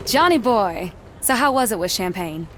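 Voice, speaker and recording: An actress voices a flirtatious, self-assured woman for a mystery game. Her middle-pitched voice lilts widely and familiarly. Faint outdoor noise sits behind her. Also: expressive, normal speed, fairly bright tone, lightly acted. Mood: teasing, flirtatious. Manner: teasing, familiar, folksy. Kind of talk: dramatic video game dialogue.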